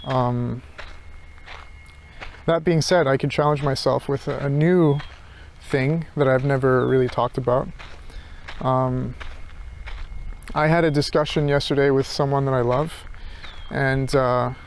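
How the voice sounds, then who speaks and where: A man's voice: medium pitch, fairly even intonation, measured pace, slight background noise, poor audio. A man thinking aloud in an unscripted reflective monologue, hesitant and searching, often circling back over his phrases. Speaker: philosophy vlogger.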